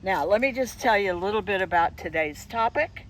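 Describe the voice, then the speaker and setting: A woman's voice: mid-range voice, animated, fairly quick, distant mic, slight background noise, rough recording. A woman hosting a casual interview outdoors, introducing guests and asking questions in a conversational way. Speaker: interviewer and host. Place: outdoors on a dirt and grass lot by a railroad track.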